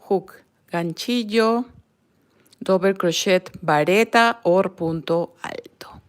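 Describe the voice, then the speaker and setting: Slow Peruvian woman teaching crochet. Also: mid-range voice. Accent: Peruvian Spanish. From Peru. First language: Spanish. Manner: slow, careful, non-native English, often drops to a near whisper. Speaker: crochet channel host. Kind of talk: live crochet tutorial.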